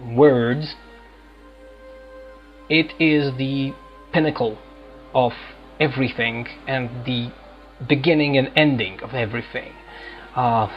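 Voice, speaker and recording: A man hosting a role-playing game video, giving unscripted, reflective commentary in a halting way. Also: medium pitch. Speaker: gaming video host. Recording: slightly dull audio, faint game music. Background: faint game music.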